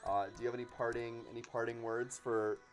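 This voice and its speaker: A young man hosting a casual podcast chat, speaking informally and haltingly, often repeating his words. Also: medium pitch, flat delivery, faint music behind. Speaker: host.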